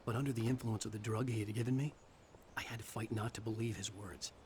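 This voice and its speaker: Man as a brooding low-voiced writer narrating. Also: fairly even intonation, quick pace, a little mellow, lightly acted. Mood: tense, brooding. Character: brooding wary male writer narrating his ordeal. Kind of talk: scripted first-person game narration. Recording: clear ambient noise behind.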